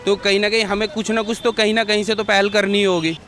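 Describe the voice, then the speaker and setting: A man's voice: high-pitched, flat delivery, fast, fairly bright tone. A man answering a reporter on the street, arguing his view point by point. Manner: argumentative, reasons point by point. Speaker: passerby giving opinion. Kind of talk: street interview. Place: outdoors by a busy street. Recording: faint traffic noise.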